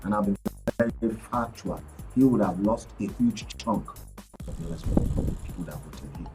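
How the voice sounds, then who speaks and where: A man's voice: fairly low pitch, lively intonation, moderate pace. A male journalist on a radio panel, talking emphatically and repeating words as he starts his points. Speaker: journalist and media analyst guest. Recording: distant mic, faint music behind.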